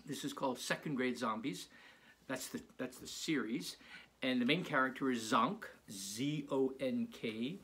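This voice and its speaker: A man's voice: mid-range voice, measured pace, sharp tone. An older man, a children's author, talking casually and encouragingly as he introduces a reading of his work.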